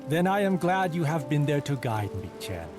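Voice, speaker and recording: Over a clear musical score comes a resolute, dutiful Chinese fleet captain. His formal delivery rises and falls dramatically at a medium pitch. He carries the grave authority of a game cutscene leader. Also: big pitch swings, normal speed, over music. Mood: reflective. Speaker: game character, military leader.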